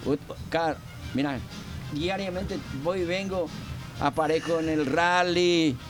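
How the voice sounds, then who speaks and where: A man's voice: on the high side, steady tone. Older guy rambling on air, lots of ums, music playing under. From Argentina. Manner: rambling, halting with many fillers. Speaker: radio guest. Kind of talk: casual radio interview.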